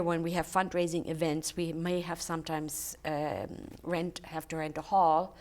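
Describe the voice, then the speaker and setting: Older lady, german is her first language, lowish voice, stops and restarts a lot in a tv studio chat. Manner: warm, halting, many fillers and restarts. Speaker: guest, charity volunteer. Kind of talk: casual interview chat. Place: local TV studio.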